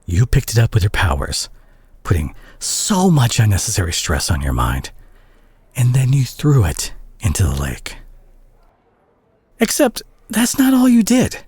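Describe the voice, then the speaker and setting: A man playing a concerned, exasperated superhero scolding a reckless teammate in a dramatic audio roleplay, husky and breathy, with halting, emphatic pauses. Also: lowish voice, wildly swooping pitch, darkish tone, no room sound, exaggerated voice. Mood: stern, exasperated.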